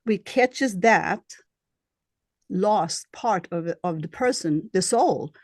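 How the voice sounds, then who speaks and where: A woman with a Swedish accent, a healer being interviewed, who speaks in a halting way and searches for her words. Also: medium pitch, lively intonation, no echo, clean studio sound. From Sweden. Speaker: guest, shamanic healer and astrologer. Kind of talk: casual interview.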